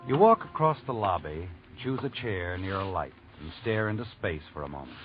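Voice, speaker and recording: An actor playing an ominous, all-knowing narrator of a radio suspense drama, dramatic and needling in a 1940s style. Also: male voice, low-pitched, very animated, brisk, a little hiss or hum. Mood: somber, brooding. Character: ominous all-knowing male narrator taunting the troubled hero. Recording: tinny old radio recording, music behind.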